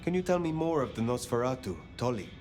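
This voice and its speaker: An actor playing a formal, probing elder vampire in a video game, with measured phrasing. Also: male voice, mid-range voice, expressive, a little mellow, natural voice. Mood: inquiring. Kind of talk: video game dialogue acting. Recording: music behind the voice.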